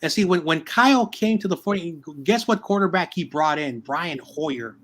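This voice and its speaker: A man's voice: a little high, expressive, normal speed, a bit bright. Rambling man talking football. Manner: rambling, repeats words while explaining. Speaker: sports show host. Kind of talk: casual sports debate.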